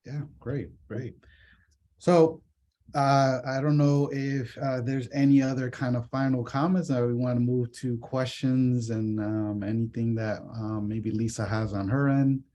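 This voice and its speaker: A man's voice: medium pitch, steady tone, a little slow, warm tone. A man speaking casually on a panel over a video call, hesitant and full of fillers. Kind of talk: conversational panel talk.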